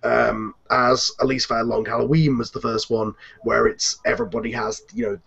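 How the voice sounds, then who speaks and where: A man hosting a casual group chat over an online video call, speaking in a halting, hesitant way. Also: medium pitch, level tone, vocal fry, a bit bright, slightly rough. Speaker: host of a fan audio drama cast chat. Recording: slightly muffled internet call audio.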